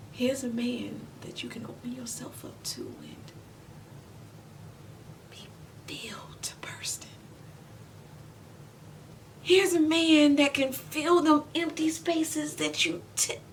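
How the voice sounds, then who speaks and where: A woman in her forties playing a weary, proud, loving mother in an emotional stage monologue, speaking deliberately with heavy pauses. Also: gravelly, in a whisper, heavily acted. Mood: wistful, hushed. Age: 40s. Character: weary, proud middle-aged mother and widow, wounded but loving. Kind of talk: emotional stage monologue, self-taped audition. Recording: distant mic, noticeable low rumbling noise.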